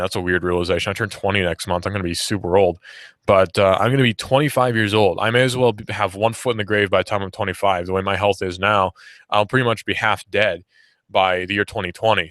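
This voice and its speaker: A young man behind a studio mic, talking on radio in a low, bright, forceful voice. He argues with sarcasm and drives each point home by repeating it. The sound is close and dry. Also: no room sound. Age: young adult. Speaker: radio host. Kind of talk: opinionated talk radio commentary. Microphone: studio microphone.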